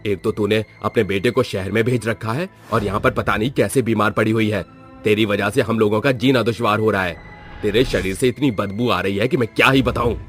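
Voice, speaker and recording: A man's voice: lowish voice, fairly even intonation, a little fast, close to an everyday voice. A scornful village headman from a horror cartoon, voiced by a male actor. He talks in a harsh, taunting way, heartless throughout. Faint music runs under the voice. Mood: scornful, disgusted. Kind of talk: Hindi cartoon horror voice acting.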